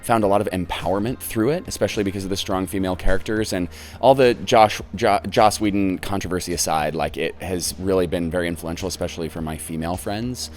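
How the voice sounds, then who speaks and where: A young man, a stage actor, talking in a relaxed, thoughtful way in an interview. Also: sits low, fast talker. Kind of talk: casual interview.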